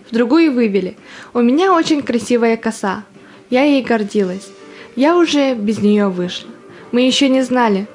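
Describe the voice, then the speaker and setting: A woman's voice: a little high, close to an everyday voice. An actress playing a young woman volunteer recalling the war, telling it earnestly as a theatrical monologue. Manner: earnest storytelling. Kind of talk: theatrical monologue in audio drama. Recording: music under the voice.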